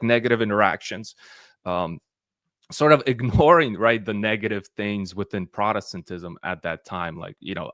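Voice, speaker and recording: A young man, telling his story in a relaxed interview. He rambles, often restarting his sentences and filling pauses. The sound is dry and close, with almost no room echo. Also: deep voice. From Western Ukraine. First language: Ukrainian. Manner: rambling, many restarts and fillers. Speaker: interview guest.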